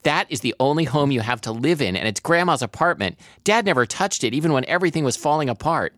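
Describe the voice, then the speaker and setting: A man's voice: fairly high pitch, expressive, fast talker, fairly bright tone, dry recording, studio quality, voice pushed up. A man voicing a distraught, indignant young adult daughter who scolds and pleads with her mother in a dramatic novel reading.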